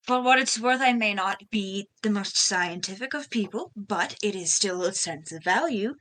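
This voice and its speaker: A woman's voice: mid-range voice, expressive, moderate pace, a bit bright. A woman role-playing in conversation as the captain of a ship in a tabletop game. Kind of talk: in-character tabletop role-play chat.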